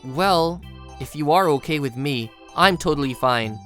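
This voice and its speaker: An actor playing a studious, reserved teenage high school boy who narrates and speaks in a manga video, introspective and a little hesitant. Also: expressive, a little slow, a bit bright, faint music behind.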